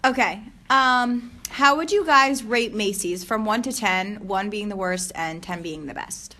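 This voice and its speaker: A woman's voice: mid-range voice, a little slow, pushed and bright. A young woman in her twenties moderating a student focus group, asking polite questions with hesitant fillers.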